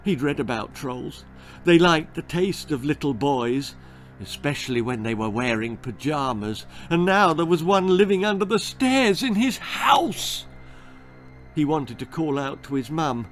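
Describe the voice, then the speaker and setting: A man reading a spooky, comic children's story in a theatrical, suspenseful manner. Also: fairly high pitch. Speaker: author reading own story. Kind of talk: dramatic children's horror-comedy storytelling.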